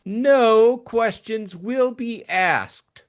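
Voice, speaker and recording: A man voicing a blandly polite, menacing male client, speaking in a formal, precise and deliberate way. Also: sits high, pitched above the performer's own voice.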